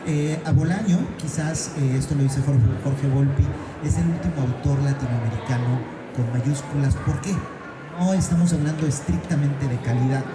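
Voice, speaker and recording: A man's voice: mid-range voice, normal speed, noisy background. Echoey enthusiastic Mexican man. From Oaxaca, Mexico. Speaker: literary panelist. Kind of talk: conversational literary commentary. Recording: echoey venue with music behind.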